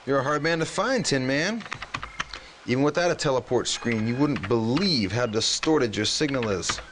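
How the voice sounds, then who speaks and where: An actor playing a brisk, cocky underground hacker in a video game cutscene, talking in slangy operator clips. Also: male voice, medium pitch, expressive, close to an everyday voice. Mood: brisk, urgent. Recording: noisy game cutscene audio.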